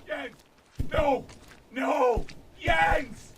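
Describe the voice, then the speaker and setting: A man's voice: very high-pitched, drawn-out words, vocal fry, raised voice, crowd noise, exaggerated voice. A man playing a distraught wounded British soldier in a radio drama, crying out in anguish. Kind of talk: student radio drama acting.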